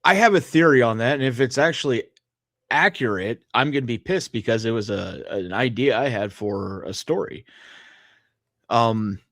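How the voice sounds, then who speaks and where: A man's voice: mid-range voice, moderate pace, a bit bright, dry recording, clean studio sound. A man co-hosting a podcast, chatting casually about films in a blunt, profane, grumbling way. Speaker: podcast co-host. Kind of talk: casual chat, film commentary.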